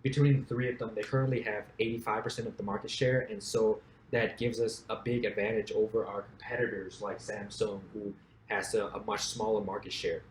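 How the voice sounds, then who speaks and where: A young man from Thailand, a startup co-founder, explaining his product in a steady business pitch. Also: a little fast. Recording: roomy echo, faint background noise.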